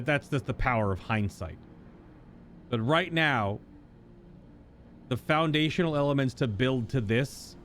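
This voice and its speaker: A male game streamer gives casual reaction commentary in a mid-range voice. He takes his time, stretching and stressing words as he thinks aloud. There is faint noise behind him. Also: medium pitch. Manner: drawn-out, emphatic, thinking aloud.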